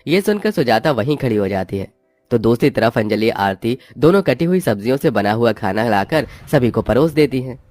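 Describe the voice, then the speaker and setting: A man's voice: medium pitch, lively intonation, moderate pace, darkish tone, dry recording. A man reading scripted narration for a cartoon moral story. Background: background music.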